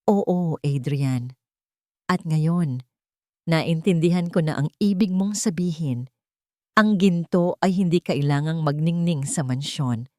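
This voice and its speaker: Gentle, affectionate young wife for an audio romance, played by a female narrator: tender, slightly low, dry and close. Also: slow, no echo. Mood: sincere, moved. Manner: tender, sincere.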